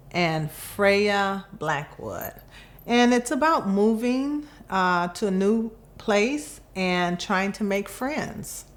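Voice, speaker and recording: Very slow, expressive woman storyteller. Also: mid-range voice. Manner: expressive, deliberate phrasing with pauses. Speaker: volunteer storybook reader. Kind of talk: children's picture book read-aloud.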